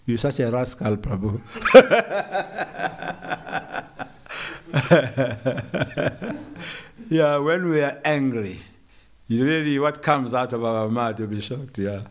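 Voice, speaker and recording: A man from South Africa giving a morning sermon in a temple, slow and measured, with hesitant restarts and gentle humor. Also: medium pitch, animated. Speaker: monk giving a morning lecture. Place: temple hall.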